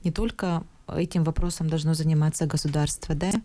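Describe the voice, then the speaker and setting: A woman who studies language at a university, a native Yakut speaker, answering calmly in a studio interview. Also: sits low, brisk, a little hiss or hum. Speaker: university language scholar guest.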